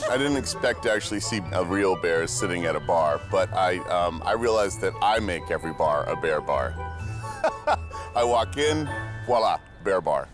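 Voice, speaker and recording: A man's voice: low-pitched, sing-song, normal speed. A young man from the United States, a reality show contestant, joking playfully and laughing easily. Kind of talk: casual confessional chat. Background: music.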